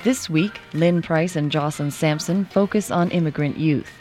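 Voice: mid-range voice, normal speed, a little mellow. Formal show announcer over music. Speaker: program announcer. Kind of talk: scripted show introduction.

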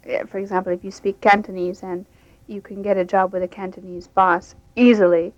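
Woman language instructor, down-to-earth and explanatory, flat delivery, dull lo-fi sound. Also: medium pitch, brisk, dry recording. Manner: plain-spoken, explaining with false starts. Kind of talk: interview answers, unscripted. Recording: dull lo-fi radio recording.

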